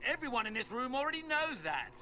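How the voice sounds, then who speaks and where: High, sharp, haughty villain mage. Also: male voice, big pitch swings, quick pace, slight background noise, heavily acted. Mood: sardonic, impatient. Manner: sardonic, theatrical. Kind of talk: dramatic video game voice acting.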